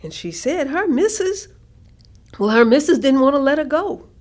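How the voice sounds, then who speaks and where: A woman playing a thoughtful grandmother in a staged reading, recounting family history in a measured, earnest way. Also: high-pitched, sing-song, a little mellow. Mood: earnest, reflective.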